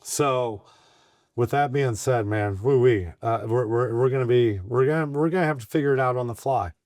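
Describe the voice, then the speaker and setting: A middle-aged man hosting a casual podcast, hoarse today, talking in a rambling, folksy, excitable way full of restarts. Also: mid-range voice, moderate pace, dry recording, studio quality. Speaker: host and bar owner.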